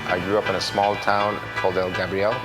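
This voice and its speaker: A deep-voiced man speaks directly and firmly about being an artist. Loud instrumental music plays under his voice throughout. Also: little pitch movement, a little fast, low-quality recording. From Cuba. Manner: direct and firm. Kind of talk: documentary interview.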